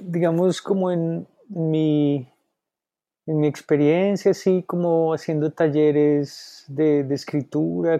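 Guy talking slow and halting, lotsa fillers, dry close sound. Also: fairly high pitch, vocal fry, mellow, dry recording. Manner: hesitant, many fillers and restarts. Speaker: writing workshop leader. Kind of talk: conversational interview answer.

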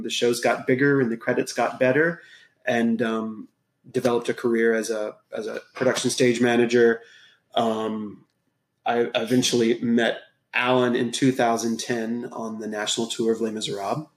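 A man from Texas, a podcast guest, telling his life story in a relaxed, casual way with many pauses and ums. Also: mid-range voice, echoey room. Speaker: podcast guest, gym owner. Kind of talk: casual interview talk.

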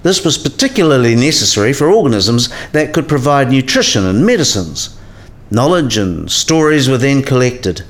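A man's voice: mid-range voice, big pitch swings, normal speed, natural voice. A man playing a learned professor in a radio play, explaining science history in a precise, didactic way. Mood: measured, instructive.

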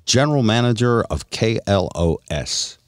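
A man with a very low voice talks like a seasoned radio host in loose conversation. His intonation swings freely as he tells stories and prods with teasing questions. The sound is dry and close. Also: expressive, normal speed, dry recording. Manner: teasing, probing. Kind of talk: casual chat and storytelling.

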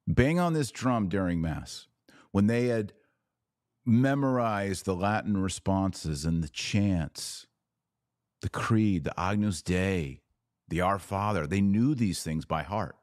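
A man's voice: deep voice, slow pace, a little mellow, dry recording, clean studio sound. A man hosting a podcast, giving opinionated commentary with emphatic, repeated phrases. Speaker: podcast host.

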